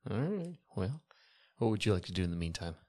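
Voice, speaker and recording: A man's voice: on the low side, sing-song, a little fast, a little mellow. A man playing a tabletop role-playing game, chatting casually in character with another player.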